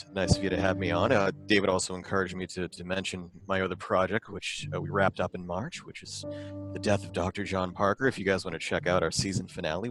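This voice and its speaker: A man's voice: sits low, low-quality recording. A man from Boston, a guest voice actor, chatting with dry, wry humor over a video call. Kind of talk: casual chat on a video call.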